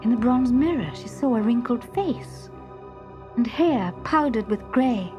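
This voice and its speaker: Female storyteller for a children's myth: measured, slow, expressive delivery with music underneath. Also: fairly high pitch. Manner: expressive, deliberate, hushed at times. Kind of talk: scripted dramatic story narration.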